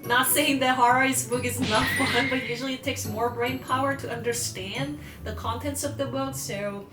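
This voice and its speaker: A Korean woman with a Korean accent chats in English, thinking aloud in a relaxed vlog manner. Her voice sits a little high and sounds roomy and set back from the mic. Music plays clearly behind her. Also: normal speed, far from the mic. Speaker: vlogger. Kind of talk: casual vlog talk.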